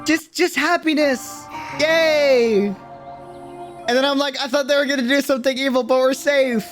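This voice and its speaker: A young adult streamer giving casual, slangy, excitable livestream reaction commentary on a game video. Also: high-pitched, a little slow. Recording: loud music behind the voice. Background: loud game trailer music.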